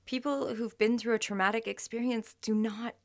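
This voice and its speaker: Actress as a high-voiced, creaky, emotional girlfriend. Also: fairly even intonation, lightly acted. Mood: hurt, frustrated. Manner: emotional, halting, often dropping to a breathy murmur. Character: hurt, exasperated young woman, girlfriend of an emotionless man. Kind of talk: naturalistic audio drama acting.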